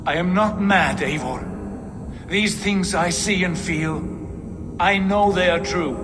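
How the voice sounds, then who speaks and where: A haunted Norse warrior in a video game, slow and halting, with a slightly raised voice. A loud orchestral score runs beneath his lines. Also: high-pitched, over music. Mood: brooding. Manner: halting, brooding. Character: troubled Norse warrior man, brooding and haunted.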